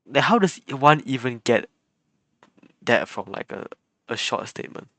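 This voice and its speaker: A game streamer giving casual, halting live reactions and muttered asides into a close microphone. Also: medium pitch, big pitch swings, a bit bright, no echo.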